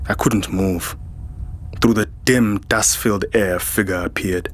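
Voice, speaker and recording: An actor playing a weary, hot-tempered military security chief in a science fiction audio drama, speaking in a terse, dramatic way. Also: male voice, lowish voice, fairly even intonation, unhurried. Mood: tense narration. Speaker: lead actor and first-person narrator. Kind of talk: audio drama acting and narration.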